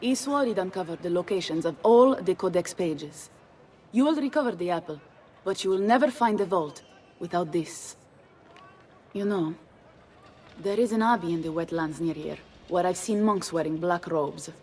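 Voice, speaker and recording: An actress playing a confident, commanding Italian noblewoman with an Italian accent and a brisk, teasing manner. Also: on the low side, a little mellow, slight background noise, lightly acted.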